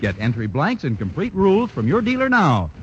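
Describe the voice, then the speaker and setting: A male announcer giving an emphatic sales pitch in a 1940s radio broadcast. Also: huge pitch swings, brisk. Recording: lo-fi old radio broadcast with faint hiss.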